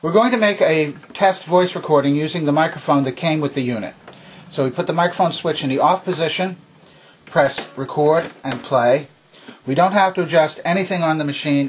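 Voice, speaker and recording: Guy w/ kinda high husky voice walking thru a demo step by step, instructional. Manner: methodical, explanatory. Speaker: presenter demonstrating vintage tape recorder. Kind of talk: instructional demonstration narration. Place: indoor home workbench.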